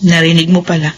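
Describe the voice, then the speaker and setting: An actress in a radio drama playing a young widow who stays composed and firmly deflects accusations. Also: female voice, on the low side. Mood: defensive. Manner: firm, composed, brushing off accusations. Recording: radio drama with faint background noise.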